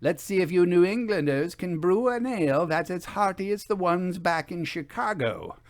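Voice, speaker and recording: A bold lady ghost investigator from a storybook, acted out by a male narrator. The voice is raised in pitch and swings in a lively way, arch and bantering, always ready with a playful challenge. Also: moderate pace.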